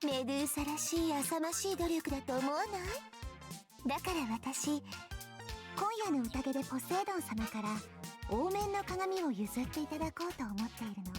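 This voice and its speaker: A woman's voice: high voice, expressive, racing. A woman voicing haughty goddess sisters who tease in a sing-song, mocking way in a visual novel. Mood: teasing. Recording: game audio with music.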